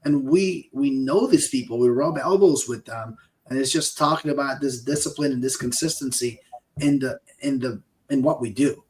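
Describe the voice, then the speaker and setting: A man's voice: mid-range voice, moderate pace, rough recording. A man in his forties with a Peruvian accent, talking casually as a podcast co-host. He sounds upbeat and motivational, cheering his listeners on. His voice sits right in the middle of the male range. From Peru. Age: 40s. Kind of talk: casual business podcast chat.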